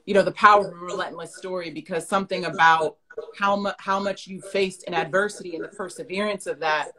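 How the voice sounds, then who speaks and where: Thirtysomething new york woman hosting a video call, slightly low voice, thoughtful. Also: fairly even intonation, fairly quick, a crowd in the background. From New York City. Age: 30s. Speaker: host. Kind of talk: casual video-call interview. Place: living room with plants.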